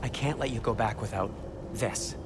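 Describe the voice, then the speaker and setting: A man's voice: lowish voice, moderate pace, close to an everyday voice. A man playing a fretful, protective dwarf craftsman in a fantasy video game. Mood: urgent concern. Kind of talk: video game drama acting. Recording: clear background ambient noise.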